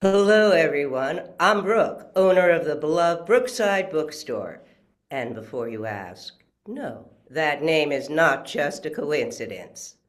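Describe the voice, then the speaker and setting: A man acts out a welcoming bookstore owner, a woman of seventy with dry humor, in a screenplay table read. The delivery is high for a male voice and swoops expressively. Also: expressive, slow pace. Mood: welcoming, wry.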